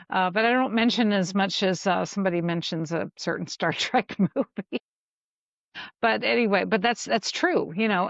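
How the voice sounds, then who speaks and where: Woman with a creaky, dull-sounding voice. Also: lowish voice, no echo. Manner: halting, reflective. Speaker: podcast co-host. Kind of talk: casual discussion of an audio drama.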